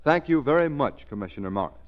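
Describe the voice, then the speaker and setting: A polished man works as a 1930s radio announcer. He talks fast in a formal scripted style with animated, swinging intonation. The old recording sounds dull. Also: medium pitch.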